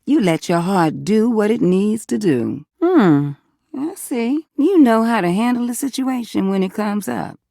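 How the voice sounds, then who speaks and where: Sassy, swooping American flirt. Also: female voice, medium pitch, expressive, unhurried, vocal fry, dark tone, no echo, studio quality. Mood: curious, warm. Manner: folksy, sassy, teasing with pet names. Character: sassy, flirtatious female household object, hot and confiding. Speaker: voice actor in a dating simulator game. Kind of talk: flirty character dialogue, game acting.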